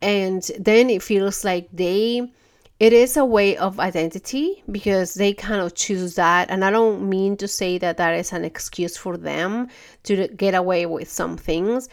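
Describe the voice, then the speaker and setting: A woman hosting a podcast, talking earnestly and gently in a casual monologue, her voice stuffy from a cold. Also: medium pitch. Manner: earnest, gentle, restarts often. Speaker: podcast host.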